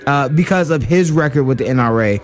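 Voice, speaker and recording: A man's voice: medium pitch, a little fast, faint music behind. A man co-hosting a radio show, giving heated political commentary with many fillers and restarts.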